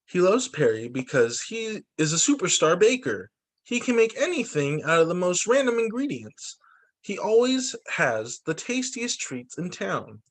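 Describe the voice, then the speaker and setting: A man who teaches young children, reading picture books aloud in an expressive, sing-song storytime delivery. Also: high voice, measured pace. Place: remote video call story session.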